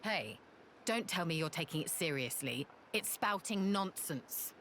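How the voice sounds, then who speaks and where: Low, bitter, proud young woman. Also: animated, a little slow, very noisy. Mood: dismissive. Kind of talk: dramatic video game acting. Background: loud orchestral strings music.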